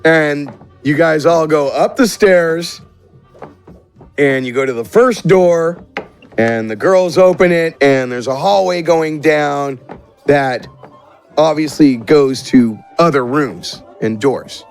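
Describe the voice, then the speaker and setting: A man's voice: fairly high pitch, takes it slowly, soft background music. A man running a tabletop roleplaying game as game master, improvising narration in an informal, conversational way.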